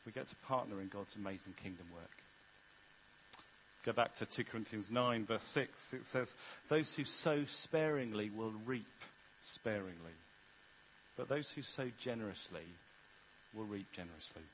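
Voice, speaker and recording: A man's voice: fairly low pitch, normal speed, highs cut off, slight background noise. A man preaching a sermon in an earnest, conversational way, often restarting his sentences. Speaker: vicar.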